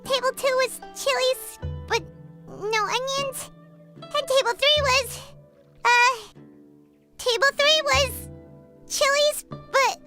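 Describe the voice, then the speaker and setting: Little floaty fairy mascot from a game, super squeaky n childish, excitable, unhurried pace. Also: female voice, exaggerated voice. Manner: refers to herself by name in the third person. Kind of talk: animated video game voice acting.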